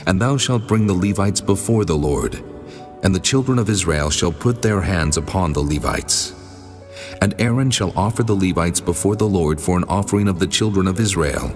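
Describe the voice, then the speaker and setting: Casting: male actor for the Almighty, low solemn voice, commanding and measured, long reverb, scripture audio drama over music. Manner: stately, measured, authoritative pronouncements.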